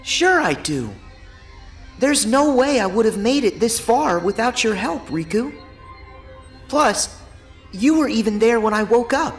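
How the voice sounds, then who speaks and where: Earnest teenage boy hero, very expressive. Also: extremely high pitch, a little slow, pauses often, faint music behind. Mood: grateful. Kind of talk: video game cutscene voice acting.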